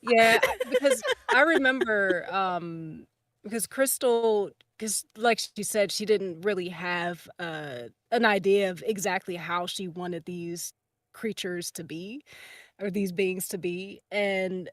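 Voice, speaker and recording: Slow-talking woman, dry close sound. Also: medium pitch, fairly bright tone, no room sound. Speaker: podcast cast member. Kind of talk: casual chat.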